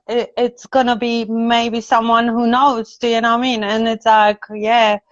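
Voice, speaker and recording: Female live stream host, Polish accent, higher pitch, effusive casual chat, muffled roomy audio. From Poland. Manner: effusive, chatty, frequent tag questions. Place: indoors, on a video live stream. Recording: dull, roomy video call audio.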